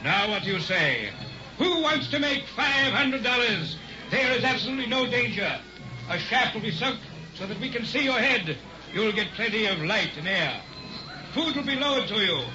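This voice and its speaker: A man's voice: high-pitched, lively intonation, normal speed. Sinister showman hypnotist, theatrical radio-drama delivery, music bed behind. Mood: showman pitch. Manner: theatrical barker, menacing. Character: sinister carnival hypnotist professor, smooth showman. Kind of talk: old-time radio drama acting. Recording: dull old radio recording with music.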